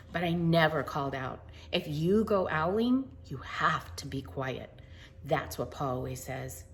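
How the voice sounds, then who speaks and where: Expressive American woman reading to children, lively pitch. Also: slight background noise. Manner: informal, chatty, expressive. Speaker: children's read-aloud storyteller. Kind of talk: storybook read-aloud with casual asides to children.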